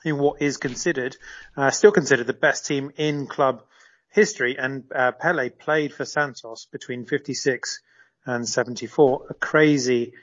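A man's voice: medium pitch, steady tone, moderate pace, a bit bright, lo-fi sound. A man serving as a correspondent in a live radio interview, explaining at length with frequent fillers and restarts.

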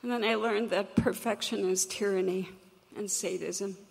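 A woman with a crackly, gravelly voice shares a personal story from a stage. She speaks earnestly and reflectively, at a measured pace. Her words trail off into the long reverb of a large hall. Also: mid-range voice, steady tone. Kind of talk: personal story told to an audience.

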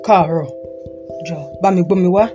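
A man plays an elderly Yoruba grandfather from a Nigerian audio drama. He is stern and admonishing, laying out his points deliberately in a Yoruba accent. Music plays clearly under his words. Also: high voice, highs cut off, natural voice. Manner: admonishing, deliberate, switches between Yoruba and English.

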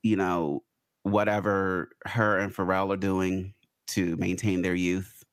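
A man co-hosting a casual pop culture chat, talking in an animated, rambling way. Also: low voice, no room sound. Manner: animated, rambling, quick to rant. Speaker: podcast co-host.

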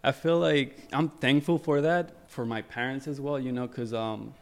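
A young man from Ecuador speaks as a podcast guest in a relaxed, easygoing way. His voice sits at an ordinary mid pitch, and he fills his sentences with "like" and "you know" and restarts often. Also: moderate pace, creaky. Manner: relaxed, many fillers and repeats. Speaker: guest photographer. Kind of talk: casual podcast interview.

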